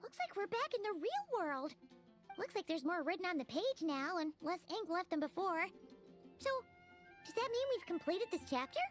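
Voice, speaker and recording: A woman voicing a small, chatty, excitable female sprite companion in a video game, with a squeaky childlike voice and a cutesy, exclamatory manner. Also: normal speed, soft background music. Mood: curious.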